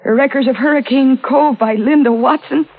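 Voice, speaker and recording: A woman's voice: high-pitched, moderate pace. An actress playing a plucky, spirited young woman writer in 1940s radio drama. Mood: proud, playful. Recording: tinny, lo-fi old radio recording.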